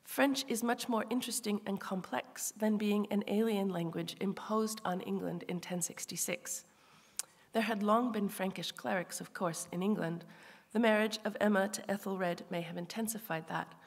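A woman reading an academic lecture in a measured, scholarly way, with occasional asides to the audience. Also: mid-range voice, big-room sound. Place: large lecture hall.

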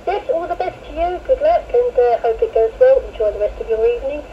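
Woman, higher-pitched, casual radio operator, slightly noisy two-way radio transmission. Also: lively intonation, fairly quick. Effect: heard over two-way radio. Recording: muffled, noisy FM radio link.

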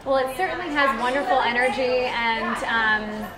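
Young woman, interviewer, upbeat, encouraging, slightly high voice, lapel mic, loud crowd chatter. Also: poor audio. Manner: encouraging, quick short reactions. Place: indoor winery tasting room.

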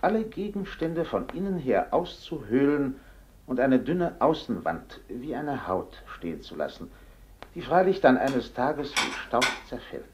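An actor playing a male professor giving a formal, measured radio lecture in an old radio drama, his voice coming through a radio set. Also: medium pitch, sing-song, slight background noise. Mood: lecturing. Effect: voice heard through a radio set. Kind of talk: 1950s radio drama acting. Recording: muffled old radio sound.

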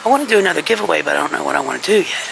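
A woman walks the aisles of a big discount store with a handheld camera and chats to her viewers about what she sees. Her voice is gravelly with plenty of creak, and her pitch rises and falls in a lively way. The steady hum of the store comes through clearly behind her. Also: very fast. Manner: chatty, talking to viewers while browsing. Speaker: vlogger. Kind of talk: casual shop-along commentary. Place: inside a large discount store. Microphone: handheld camera carried while walking. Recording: store background noise. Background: store ambience.

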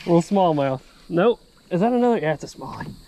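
A young man vlogging from his kayak on a creek, excitable and quick to exclaim. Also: high voice, highs cut off, a little hiss or hum. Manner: excitable, exclaims and laughs often. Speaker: fishing vlogger. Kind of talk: excited outdoor chat.

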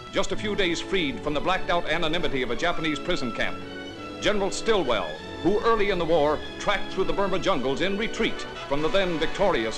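A man narrating a 1940s newsreel in a solemn, declamatory announcer's style. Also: fairly high pitch. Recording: old newsreel soundtrack with music.

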